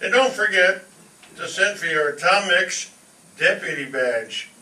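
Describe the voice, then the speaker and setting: A man's voice: sits high, expressive, unhurried, pushed and bright, heavily acted. A man playing a hearty cowboy movie star in an old 1930s radio cereal commercial. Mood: folksy, upbeat. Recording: echoey hall, distant mic.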